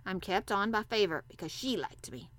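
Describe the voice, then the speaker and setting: A crabbed but loyal old Yorkshire gardener , acted by a woman. He talks in broad dialect with a dry, gruff, emphatic delivery, like a storybook old-timer. The sound is close and dry. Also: medium pitch, lively intonation, normal speed, a bit bright, dry recording, lightly acted. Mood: guarded, plain. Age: older.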